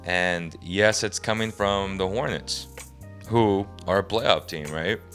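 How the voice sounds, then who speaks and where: A young man with a very low, raspy voice, hoarse from a lingering cold, hosts a sports podcast. He talks in an emphatic, frustrated way, thinking out loud as he goes. Faint music plays softly behind him. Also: slow. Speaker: podcast host. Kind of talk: sports opinion commentary.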